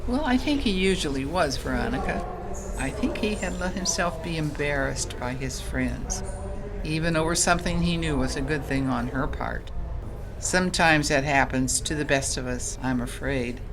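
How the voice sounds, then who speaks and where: A woman playing a gentle, wise mother who tells saints' stories in an audio drama, conversational and instructive. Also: low voice, normal speed, noisy background, natural voice.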